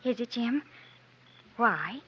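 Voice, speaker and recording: A woman's voice: on the high side, animated, takes it slowly, bright, forceful tone, breathy edge, full character voice. A woman playing a tender, earnest and slightly hesitant young heroine in love, in 1950s radio drama style. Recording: muffled, noisy 1950s radio recording.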